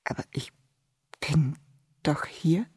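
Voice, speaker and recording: A woman playing a bewildered performer in a stage drama who insists she is real, halting and repeating herself. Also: low voice, dark tone, slightly rough, no echo, whispered, studio quality, full character voice. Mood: panicked, insistent. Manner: halting, repetitive, protesting. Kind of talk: theatre monologue and dialogue in German.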